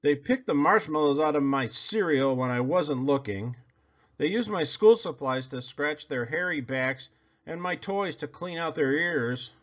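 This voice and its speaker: A man reads a children's picture book aloud with a widely swooping, highly animated pitch. His delivery is theatrical and expressive, with words drawn out for effect. The recording sounds a little dull and lo-fi. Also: a little slow. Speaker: storybook reader. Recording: slightly dull, lo-fi audio.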